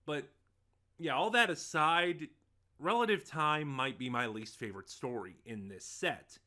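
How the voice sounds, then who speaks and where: A man's voice: on the high side, measured pace, bright, forceful tone. A man giving a casual, unscripted review as host, talking in a rambling, hesitant way and often correcting himself. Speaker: online reviewer of audio dramas, host.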